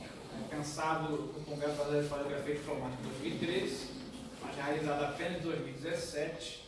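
A man in his thirties with a Brazilian accent, giving a formal slide presentation as an academic conference speaker in a lecture room, picked up by a distant camera. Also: on the high side. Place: lecture room with an audience, indoors. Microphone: distant camera in the audience. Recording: very echoey, noisy room recorded from afar.

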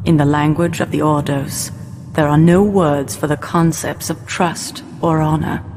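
Female narrator, very low pitch, ominous, deliberate, sci-fi game cinematic, long reverb. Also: fairly even intonation. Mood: solemn, ominous. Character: ominous, measured woman narrator of a science-fiction saga. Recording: long reverb, noisy ambient bed.

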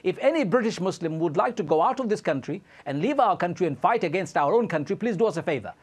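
A man's voice: high-pitched, fast talker, breathy edge. A man in his forties, born in Bangladesh, arguing insistently as a guest in a televised studio debate. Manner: impassioned, insistent, animated. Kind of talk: heated TV debate.

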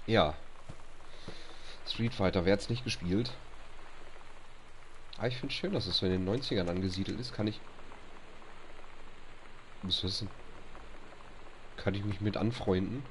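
A young man with a stuffy, allergy-blocked nose talks over gameplay, his voice sitting low. He reads game text aloud and grumbles when things break, with a faint hum behind him. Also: unhurried, pauses often. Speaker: let's play host. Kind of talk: casual gameplay commentary.

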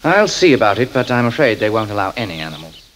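Composed young asylum doctor, measured and firm, low voice, varied intonation, radio play. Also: male voice, big pitch swings, a little hiss or hum, rough recording. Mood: patient. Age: 20s. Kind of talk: 1970s radio drama.

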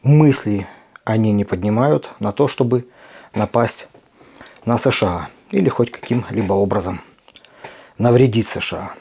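Mellow-voiced man, earnest and emphatic. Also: lowish voice, creaky, slightly rough. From Ukraine. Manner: earnest, emphatic, with deliberate pauses. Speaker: video blogger. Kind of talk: impassioned direct address to camera.

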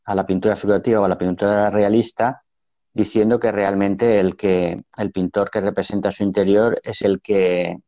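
Gravelly low-voiced Spanish painter on a video call. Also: male voice, level tone, moderate pace, dark tone, dry recording, muffled recording. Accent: Valencian Spanish. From Valencia, Spain. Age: 50s. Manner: hesitant, many restarts and fillers. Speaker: painter, guest. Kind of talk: reflective interview answers. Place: room at home.

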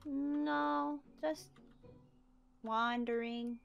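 A woman's voice: high-pitched, fairly even intonation, very slow, gravelly, muffled recording, exaggerated voice. Woman doing a naive upbeat kid survivor, simple innocent answers, faint music behind. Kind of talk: Minecraft roleplay voice acting.

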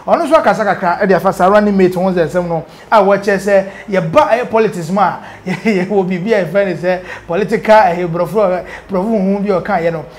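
A man in his thirties with a Ghanaian accent, presenting informal news commentary in a studio on a lapel mic. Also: sits high. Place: indoor studio.

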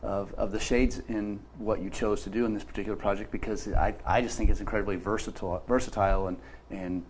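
A man in his fifties hosts a casual studio interview, asking friendly questions that wander and restart. His voice is on the low side. A lapel mic picks him up over a faint hum of room noise. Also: fairly even intonation, fast talker. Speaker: show host. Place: bright studio set at a table.

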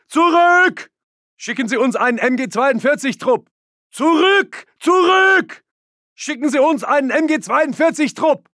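An actor playing an aggressive, urgent German Wehrmacht soldier barking commanding battle orders in a video game. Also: male voice, very high-pitched, a bit bright, no echo, studio quality, exaggerated voice. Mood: fierce, urgent.

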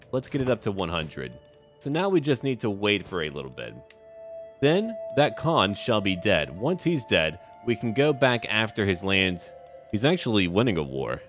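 A man's voice: fairly low pitch, fairly bright tone. A man narrating a strategy game in character, musing aloud theatrically in short, clipped phrases over music. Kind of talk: in-character roleplay game commentary.

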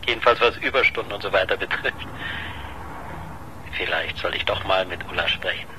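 An actor playing a nosy, sceptical newspaper reporter who needles in a familiar way, in a radio crime drama. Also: male voice, sits low, fairly quick, bright, forceful tone, slight background noise, poor audio. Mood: needling, sceptical.

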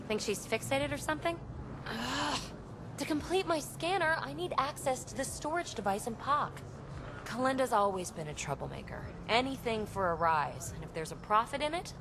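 An actress playing an irritable, guarded alien scout in a video game, blunt and sarcastic in a casual way. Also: female voice, medium pitch, natural voice. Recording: clear background ambience noise.

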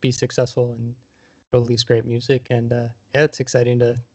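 A man's voice: mid-range voice, completely flat delivery, moderate pace, darkish tone. A man hosting a podcast interview in a casual, chatty style. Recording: dull, lo-fi sound.

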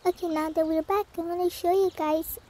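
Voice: very high-pitched, steady tone, a little fast, noisy background. A performer playing a cheerful older sister in an amateur family roleplay, girlish and casual in delivery.

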